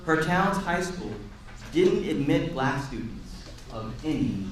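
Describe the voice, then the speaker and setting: A man reading a picture book aloud to children in an expressive, encouraging way, pausing to chat and ask them questions. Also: a little high, slight background noise. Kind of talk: animated read-aloud with asides to a young audience. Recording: echoey room, distant mic, audience sounds.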